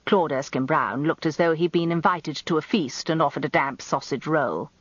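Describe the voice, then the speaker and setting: An actress playing a genteel, self-important middle-aged English wife, narrating a radio drama in an arch, confiding, expressive way. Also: female voice, deep voice, normal speed, dry recording, muffled recording.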